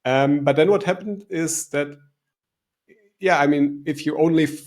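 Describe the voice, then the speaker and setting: Middle-aged man, german accent, gravelly voice, thoughtful and hesitant, interview guest. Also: a little high, unhurried, a little mellow. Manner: reflective, hesitant, with restarts and "um"s. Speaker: guest, life coach. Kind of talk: interview answers.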